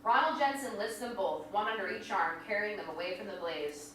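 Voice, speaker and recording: A woman's voice: mid-range voice, a little fast. A woman reading stage directions as dramatic scripted narration. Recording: echoey hall from far off, faint noise.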